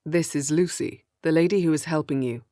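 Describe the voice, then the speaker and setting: A woman playing a male kidnapper speaks low and softly in a horror audiobook. The tone is coaxing and over-familiar, pleasantly menacing. The recording is dry and close. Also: fairly quick, dry recording, lightly acted. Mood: quietly menacing. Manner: soft-spoken, almost pleasant menace. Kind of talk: audiobook horror character dialogue.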